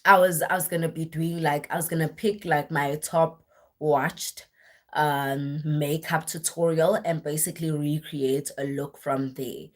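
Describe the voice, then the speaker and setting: A young woman with a husky voice, worn deeper than usual, chatting casually and at length on a live stream, with lots of fillers and restarts. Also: steady tone, unhurried. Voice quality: husky, deep voice. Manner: rambling, filler-heavy, self-correcting. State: voice worn and deeper after a weekend of church singing.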